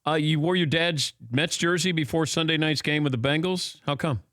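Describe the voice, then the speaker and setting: A man hosting a sports talk show, asking quick, playful questions in a chatty way. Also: on the high side, fairly bright tone, no room sound, clean studio sound. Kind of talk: casual radio interview.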